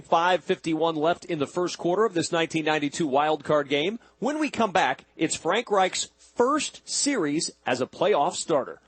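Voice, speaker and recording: A man's voice: fairly high pitch, husky, no room sound. An American man hosting from a radio studio, reading scripted sports updates and sponsor copy.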